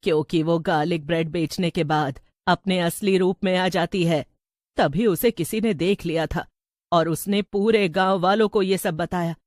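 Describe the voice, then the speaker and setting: A woman playing a wise older village woman, measured and cautioning, in an animated story. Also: lowish voice, no echo, studio quality, lightly acted. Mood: informing. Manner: measured, advising. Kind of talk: animated Hindi story acting.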